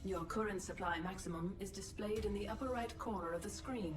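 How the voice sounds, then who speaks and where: An actress voicing an impassive computer announcer, giving formal scripted announcements and instructions in a science fiction game. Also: female voice, medium pitch, a little fast, lightly acted. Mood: neutral, instructive. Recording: echoey, distant, music behind.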